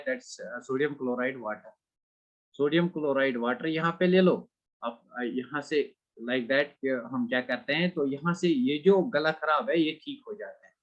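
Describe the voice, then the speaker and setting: A man's voice: on the high side, animated. A man teaching an exam-prep chemistry lecture, switching between Hindi and English and quizzing his students. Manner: mixes Hindi and English, asks students questions; slow, deliberate English phrases, rapid Hindi stretches. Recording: dull lo-fi recording.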